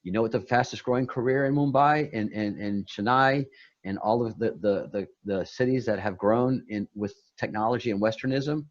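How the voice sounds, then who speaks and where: Man, low voice, rambling spiritual chat over a muffled call line, restarts and repeats. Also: normal speed. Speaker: guest on a meditation talk.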